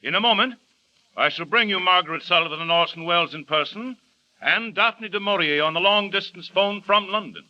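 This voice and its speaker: High, sharp-voiced vintage radio announcer. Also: male voice, fairly quick. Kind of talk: formal on-air announcing. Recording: dull vintage radio recording.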